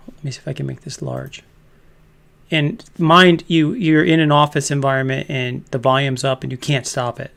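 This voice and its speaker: A man's voice: mid-range voice, steady tone, moderate pace, gravelly, fairly bright tone. A man in his fifties hosting a casual livestream chat, rambling and laughing often. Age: 50s. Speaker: host.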